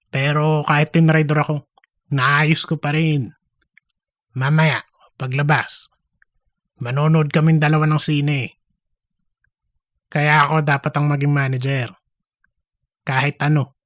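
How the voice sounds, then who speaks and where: A man's voice: a little high, fairly bright tone, no echo, studio quality. A man playing a boastful, philandering office worker angling to be manager in a comedic audio story, gossipy and repetitive. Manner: cocky, gossipy, repeats himself.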